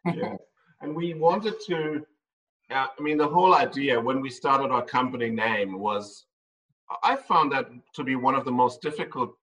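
Brief: male tour operator, bright edgy tone, chatty and meandering, sitting back from the mic. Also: medium pitch, far from the mic, rough recording. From Ireland and Germany. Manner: rambling, with frequent ums. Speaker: tour company owner. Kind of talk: conversational interview answers.

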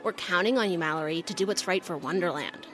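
A woman's voice: fairly low pitch, fast talker, a bit bright, faint music behind, natural voice. A woman playing a brash, foul-mouthed young fired ride operator, rambling sarcastically in slang as if interviewed for an audio drama. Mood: indignant, defiant. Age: young adult.

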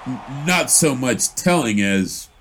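An actor playing an earnest young suitor who rambles eagerly and stammers in a period audio drama. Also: male voice, mid-range voice, lively intonation, slow pace. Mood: nervous, eager. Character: earnest, babbling young 1920s suitor and announcer. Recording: noisy outdoor ambience behind.

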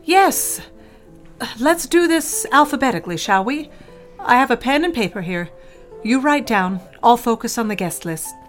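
A woman's voice: a little high, expressive, normal speed, faint music behind, lightly acted. An actress playing a genteel, controlling middle-aged society mother in an audio drama, fussy and pointed, breezily dismissive. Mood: brisk planning. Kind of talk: scripted audio drama acting.

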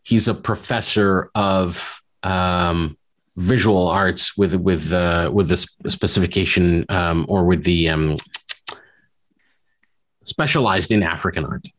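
A male interviewer with a very low voice asks his guest measured, probing questions. He speaks slowly and carefully. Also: steady tone.

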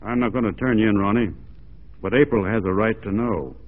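An actor playing an easygoing, plain-spoken Texan cowboy in a radio drama, with a folksy, unhurried manner. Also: male voice, on the low side, narrow, tinny audio, lightly acted. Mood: steady, frank. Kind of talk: 1940s radio drama acting. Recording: thin band-limited 1940s radio recording.

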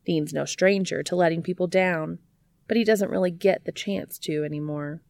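A woman narrates fiction in a low-pitched voice with plenty of fry at the edges. The recording is close and dry, and she reads with expression, like an audiobook narrator. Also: steady tone, normal speed, a little mellow, no room sound. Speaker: audiobook reader of fan fiction. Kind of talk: scripted narration of a story, expressive.

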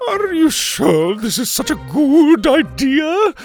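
A man's voice: very high voice, sing-song, takes it slowly, soft background music, voice pushed up, exaggerated voice. A man voicing a doting, fretful, melodramatic female camper in a storybook reading, gushing and dramatic. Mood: worried. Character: doting, fretful, melodramatic woman camper, smitten with her teacher.

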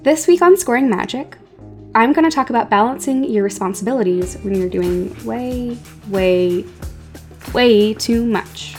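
A woman's voice: on the high side, measured pace. A woman hosting a podcast, reading scripted narration with casual asides and wry, emphatic repetition. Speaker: podcast host. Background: music.

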